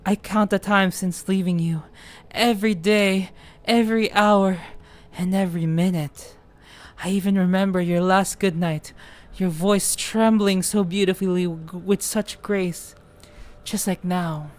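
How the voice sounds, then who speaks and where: A woman voicing a creepy, obsessively loving younger brother, speaking slowly in a courtly, ominously affectionate way over music. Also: mid-range voice, fairly even intonation, lightly acted. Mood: wounded, obsessive.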